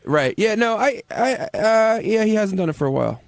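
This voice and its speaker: A man in casual radio discussion, speaking haltingly as he thinks aloud. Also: high voice, animated, creaky, no room sound. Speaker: radio show staffer. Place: radio studio.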